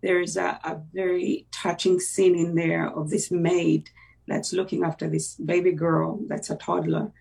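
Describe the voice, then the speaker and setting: Gravelly voice, halting. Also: medium pitch, level tone, a little mellow, distant mic. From Uganda. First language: Luganda. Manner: reflective, halting, with many fillers and restarts. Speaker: interview guest. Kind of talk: personal faith testimony in conversation.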